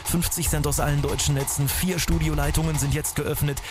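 A man's voice: on the high side, level tone, fairly quick, background noise. A man hosting a live call-in quiz, urging listeners on in an insistent, pleading, repetitive way. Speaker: quiz show host. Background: loud music bed.

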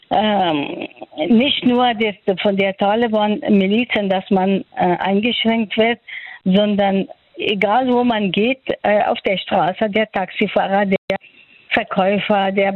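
An older woman from Afghanistan speaking German with an Afghan accent, answering interview questions haltingly and searching for words. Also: medium pitch, no echo, phone-quality sound. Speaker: doctor, interviewee.

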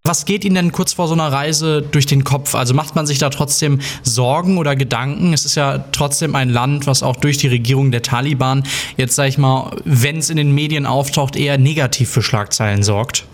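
A man working as a radio reporter, interviewing a guest with calm, measured questions. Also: mid-range voice.

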